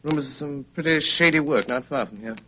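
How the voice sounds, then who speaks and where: Detective lead, 1930s radio play: urbane, ironic sleuth, crisp forward tone, dull vintage recording. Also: male voice, on the high side, bright, forceful tone. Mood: probing. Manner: brisk, ironic, elegantly wry. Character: urbane, teasing, sharp-witted gentleman detective.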